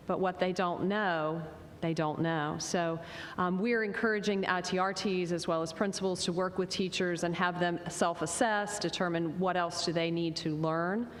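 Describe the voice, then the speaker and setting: A woman's voice: fairly even intonation, normal speed, hardly any pauses. A woman on a conference panel explaining her school's professional development plans in an earnest way. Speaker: school technology administrator. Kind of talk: panel discussion answer. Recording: reverberant conference hall, faint rumble.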